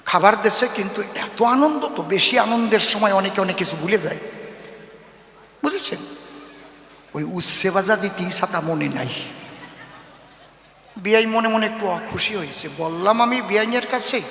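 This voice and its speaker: High-voiced storyteller man, heavy echo. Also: moderate pace, pauses often, pushed and bright. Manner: teasing, mock-bashful, addresses listeners directly. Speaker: comic storyteller performing live. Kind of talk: humorous folk storytelling to an audience. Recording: echoey reverberant stage sound with faint noise.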